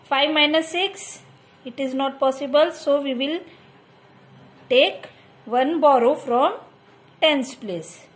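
Indian woman, high voice, very slow patient maths teacher, step by step. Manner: slow step-by-step explaining, mixing Hindi and English. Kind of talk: maths lesson over a workbook.

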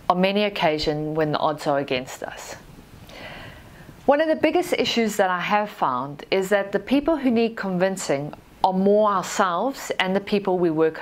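A woman with a Zimbabwean accent and a fairly low voice delivers a prepared talk. Her manner is formal and measured, as a manager addressing a professional conference. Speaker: army lessons manager giving a presentation. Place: small indoor studio with dark backdrop. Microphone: lapel mic.

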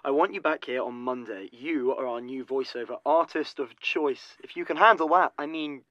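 An actor playing a breezy, gushing but callous studio producer in an audio drama. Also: male voice, mid-range voice, normal speed, fairly bright tone, no room sound, muffled recording, close to an everyday voice. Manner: breezy, effusive.

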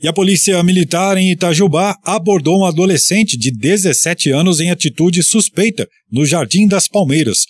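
A man from Brazil reads the police news bulletin on local radio. His voice is husky and a little high for a man, and his delivery stays steady and formal throughout. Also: moderate pace, hardly any pauses.

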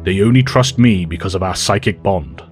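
Dignified telepath leader, formal, low, faint music under. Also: male voice, expressive. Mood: calm. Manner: formal, measured. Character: calm dignified telepathic male professor.

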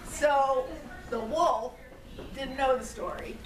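A woman's voice: high-pitched, takes it slowly. A middle-aged woman telling a story to young children in simple phrases, often putting questions to them. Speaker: storyteller and puppeteer. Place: daycare classroom, indoors. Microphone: camera mic at some distance. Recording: echoey noisy room, distant camera mic.

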